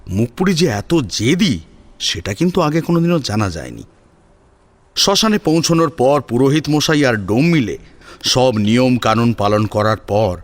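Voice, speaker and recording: Expressive male storyteller with lively pitch swings. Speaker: story narrator. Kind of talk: dramatic scripted audio-story narration in Bengali serial fashion.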